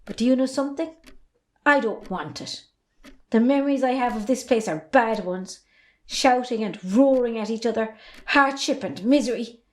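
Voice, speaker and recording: Irish girl acting a young farm daughter in a play, high voice, blunt and wont back down. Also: normal speed, natural voice. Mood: defiant. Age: young adult. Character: defiant young Irish farm daughter, frank and fair-minded. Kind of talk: stage drama acting.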